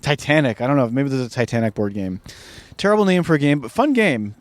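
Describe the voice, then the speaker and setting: Male podcast co-host, mid pitch, a bit hoarse, dry wit, dead-dry close mic. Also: very animated, quick pace, no echo. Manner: dry, teasing humor. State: hoarse, recovering from a cough. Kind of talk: casual chat.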